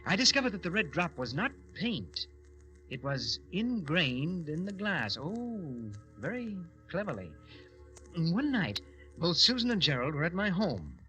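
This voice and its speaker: Brooding radio-horror villain, higher-pitched, very animated, theatrical and menacing, with dramatic pauses. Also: male voice, exaggerated voice, low-quality recording. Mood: brooding, mesmerised. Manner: theatrical, deliberate, with dramatic hesitations. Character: Harvey, brooding, menacing man fascinated by murder. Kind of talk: old-time radio horror acting, first-person narration. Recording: old radio recording with music.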